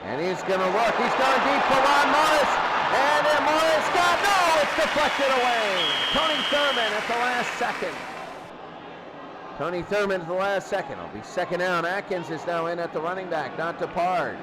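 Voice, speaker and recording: High-pitched football commentator, crowd behind. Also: male voice, lively intonation, moderate pace, very noisy. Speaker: play-by-play sports commentator. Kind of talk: live American football commentary.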